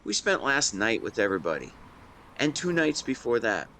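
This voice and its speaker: A man playing an affectionate, playful young best friend in a romantic audio roleplay, speaking to the listener in a teasing, tender, expressive way. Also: medium pitch, normal speed, a little hiss or hum. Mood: fond, tender.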